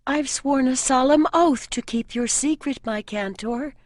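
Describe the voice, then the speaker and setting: A woman voicing an earnest, loyal young girl who gently reproves, in a fantasy audiobook. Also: high-pitched, measured pace, voice pushed up. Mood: reproving. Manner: steady, reproving, sincere.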